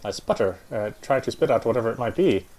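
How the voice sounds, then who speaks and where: A man's voice: mid-range voice, animated, fast talker, a little mellow. A man reading first-person visual novel narration aloud.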